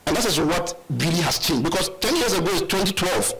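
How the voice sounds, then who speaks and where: Young man, Ghanaian accent, panel talk, repeats words, raised pitch, crowd noise. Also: animated, brisk, low-quality recording. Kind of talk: panel discussion.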